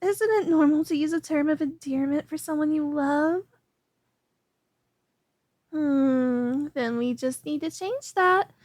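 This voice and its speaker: A woman's voice: sits high, slow pace, pauses often, a little mellow, no room sound. A woman voicing a young alchemist who chatters as she works and turns obsessive, in a scripted audio roleplay. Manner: chatty, rambling, then clingy and obsessive. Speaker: ASMR roleplay performer.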